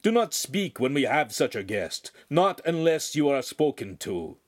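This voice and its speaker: Man playing a stern, animated master craftsman. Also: mid-range voice, measured pace, dry recording, studio quality, pitched above the performer's own voice. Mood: stern scolding. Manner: scolding, obsequious to superiors. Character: stern, gruff master craftsman, fawning to his patron.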